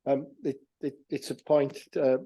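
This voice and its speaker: A man reads a prepared statement at a council meeting, stumbling now and then on a word and starting it over. His voice is very dark and mellow, and it stays flat and level throughout. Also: mid-range voice, measured pace, husky. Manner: reads steadily with small stumbles and restarts. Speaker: council committee member.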